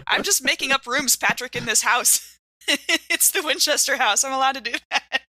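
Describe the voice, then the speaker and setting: A woman playing in a tabletop game podcast, chatting in a casual, playful style. Her voice sits a little high and is strikingly bright, in a close, dry recording. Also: normal speed, no echo. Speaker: podcast player.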